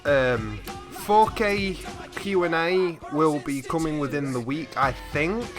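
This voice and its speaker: A man's voice: on the high side, slow pace, faint music behind. A man hosting a reaction video, chatting casually to viewers in a rambling, easily amused way on a desktop microphone. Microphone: desktop USB microphone, slightly set back.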